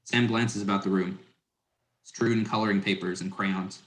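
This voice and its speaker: A man's voice: deep voice, fairly even intonation, normal speed, a bit bright. A man narrating stage directions in a scripted dramatic reading. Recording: roomy, echoing sound.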